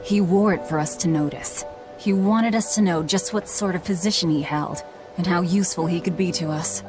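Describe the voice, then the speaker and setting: A woman plays a hard, calculating young antiheroine from a video game. Her voice sits low and she speaks in blunt, curt lines, with music clearly behind her. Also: moderate pace, natural voice. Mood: cold, commanding. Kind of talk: animated game cutscene acting. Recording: background music over dialogue.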